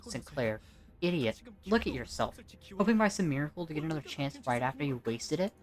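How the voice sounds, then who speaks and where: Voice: medium pitch, lively intonation, a little fast, soft background music, voice pushed up, lightly acted. An amateur narrator voicing a sly, bold, pushy teenage girl in a wheedling, taunting manner for a story.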